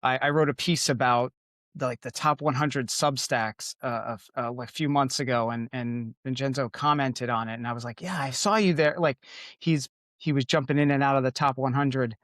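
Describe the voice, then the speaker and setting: A man's voice: medium pitch, fairly even intonation, moderate pace, fairly bright tone, no room sound, studio quality. A man from Connecticut hosting a podcast in casual chat, rambling with many fillers and restarts. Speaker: podcast host.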